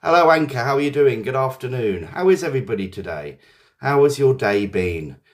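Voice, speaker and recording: A man's voice: medium pitch, expressive, fairly quick. A man with an English accent hosting a live English lesson, cheerfully greeting viewers by name as they join. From England. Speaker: English teacher.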